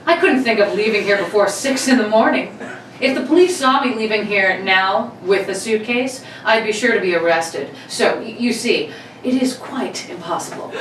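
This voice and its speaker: A woman's voice: medium pitch, normal speed, fairly bright tone, lightly acted. A woman playing a cocky, politely menacing male armed robber in an old-time radio play, with mock-courteous theatrical delivery. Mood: smug politeness. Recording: roomy, distant mics with faint noise.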